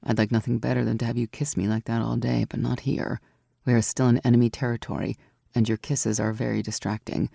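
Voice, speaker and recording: A woman voicing a strong, protective warrior woman who speaks low and soft in a storybook. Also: flat delivery, brisk, vocal fry, husky, dry recording, studio quality, heavily acted.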